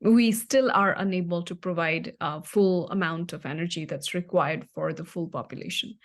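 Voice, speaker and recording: A woman's voice: lowish voice, normal speed. A young woman from Nepal, a guest answering in a podcast interview with many fillers and restarts.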